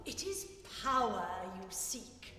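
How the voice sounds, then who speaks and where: An actress playing a haughty, sarcastic, sharp-tongued guild elder in an audio drama. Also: female voice, fairly high pitch, big pitch swings, slow, exaggerated voice. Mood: cutting. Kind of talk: theatrical audio drama acting. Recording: very echoey hall with loud music.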